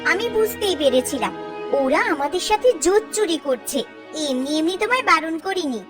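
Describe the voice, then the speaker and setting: A woman plays a stubborn village wife in an animated story. Her voice is very high and swoops widely as she nags and insists, with faint music behind. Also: a little fast. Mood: reproachful. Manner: scolding, insistent. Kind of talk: cartoon voice acting.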